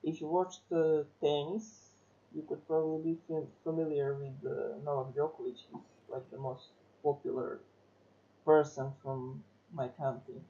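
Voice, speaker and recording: A man from Serbia, a streamer chatting casually and thinking aloud with hesitant pauses. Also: fairly high pitch, level tone, warm tone, muffled recording.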